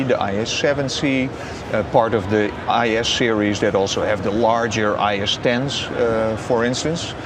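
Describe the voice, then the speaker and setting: Man interviewed amid clear background noise. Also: fairly low pitch. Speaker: company business strategist being interviewed. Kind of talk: interview answers. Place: open booth in a large busy exhibition hall. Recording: noisy trade show hall ambience.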